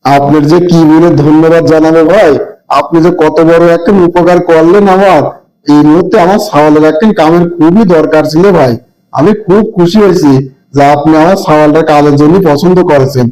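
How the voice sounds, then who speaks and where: Old cartoon father, played by a man: rural Bangladeshi accent, careworn, grumbling yet loving, slightly raised pitch. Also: brisk, dark tone, lightly acted, low-quality recording. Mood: grateful. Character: poor old village father, weary, scolding but loving. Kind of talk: amateur cartoon voice acting.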